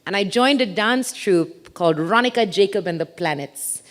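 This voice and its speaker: A woman's voice: mid-range voice, expressive, moderate pace. A woman in her thirties from India, with an English accent mixed from a childhood abroad, giving a chatty, self-mocking talk on stage. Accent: mixed international English, from a childhood abroad. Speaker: speaker. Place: stage talk to a live audience.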